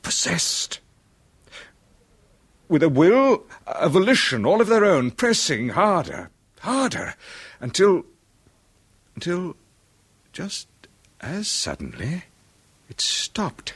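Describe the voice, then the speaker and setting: A haunted, stammering musician. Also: male voice, sits high, huge pitch swings, fairly bright tone, breathy edge, exaggerated voice. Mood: haunted confession. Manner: halting, stammering, bitter nervous laughs. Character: tormented middle-aged male musician, haunted and bitter. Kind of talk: 1970s radio drama acting.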